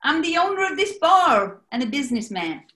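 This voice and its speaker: A woman playing a smooth-talking, flattering New bar owner in a 1940s-style radio comedy. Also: high-pitched, normal speed, roomy sound. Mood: smooth, self-important.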